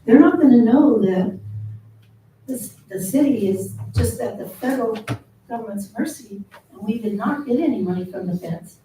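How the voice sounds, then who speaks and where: A woman with a small, soft voice giving public comment at a council meeting, earnest and measured with frequent ums. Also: on the high side, expressive, slight background noise. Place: city council chamber. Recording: echoey council chamber, distant mic.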